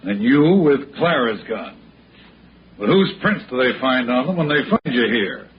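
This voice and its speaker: An American actor playing a menacing, gloating criminal brother in a sneering 1960s radio crime style. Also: male voice, medium pitch, lively intonation, a little slow, close to an everyday voice. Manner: sneering, taunting. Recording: muffled, hissy old radio broadcast.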